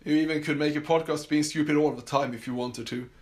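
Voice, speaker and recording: A man's voice: fairly high pitch, fairly even intonation, fast talker. A man from Sweden with a Swedish accent, hosting a casual solo podcast from his summer house, talking with many fillers and restarts. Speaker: podcast host.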